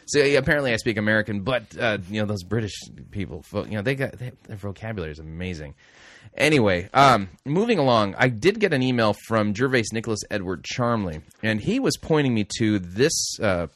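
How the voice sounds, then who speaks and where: A man's voice: on the low side, fairly bright tone, no room sound, studio quality. A middle-aged man hosting a talk show, reading news aloud with rambling, self-correcting, wry asides. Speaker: radio host. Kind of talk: casual talk show commentary.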